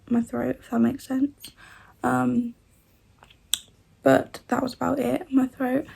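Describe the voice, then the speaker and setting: A twenty-year-old woman from the UK, chatting casually in a vlog while she has a cold. Her voice sounds rough and odd, and she rambles with lots of fillers. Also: a little high, warm tone. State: congested with a cold. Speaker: vlogger.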